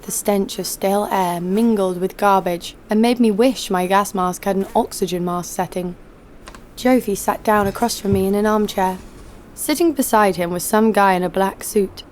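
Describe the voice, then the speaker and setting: A woman playing a jaded, sardonic killer who narrates her own story in a deadpan way for an audio drama. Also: mid-range voice, moderate pace, slight background noise, close to an everyday voice. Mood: wry. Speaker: narrator and lead actor. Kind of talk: first-person scripted narration.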